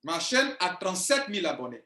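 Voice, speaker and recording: Man, 40s, business coach; high-pitched, insistent, repeats points, roomy echo. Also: very animated, a little fast, bright, forceful tone. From Ivory Coast. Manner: insistent, emphatic, repeats himself. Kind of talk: video monologue to viewers. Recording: echoey room.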